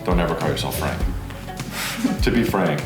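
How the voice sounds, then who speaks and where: A man's voice: a bass voice, animated, normal speed. A man joking and teasing in casual family banter on a home vlog. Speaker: husband joining a family vlog. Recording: roomy echo, music behind. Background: music.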